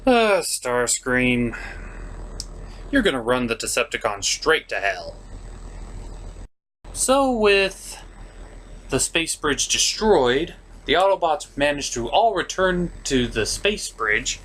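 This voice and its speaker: A man's voice: medium pitch, sing-song, slow pace, long pauses, a bit bright, low-quality recording. Male review host, comedic recap style. Emphatic, exasperated, swooping delivery over faint noise.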